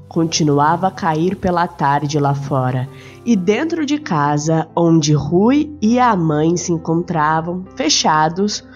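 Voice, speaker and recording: A woman hosting a bedtime-story podcast and narrating calmly. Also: lowish voice. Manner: calm, unhurried storytelling. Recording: slightly muffled with soft music.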